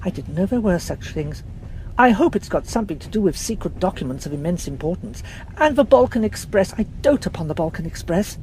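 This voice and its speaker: A woman voicing a chatty, gallant young English gentleman who talks in a breezy, teasing way. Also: fairly low pitch, animated, fairly quick, slight background noise, natural voice, poor audio. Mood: playful.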